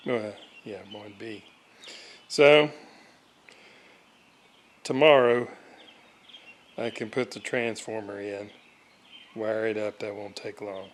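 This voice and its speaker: A man giving an unscripted workbench walkthrough of his repair, halting and thinking aloud as he goes. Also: lowish voice, fairly even intonation. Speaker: hobbyist radio restorer narrating his repair. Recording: roomy echo, background noise, uneven level.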